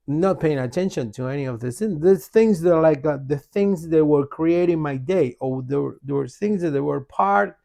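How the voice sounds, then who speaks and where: Casting: male podcast guest, Argentine Spanish accent, higher-set gravelly voice, casual and emphatic, circles back over his phrases. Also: warm tone. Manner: emphatic, repetitive, casual swearing. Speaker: podcast guest, author and shaman. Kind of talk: casual conversational storytelling.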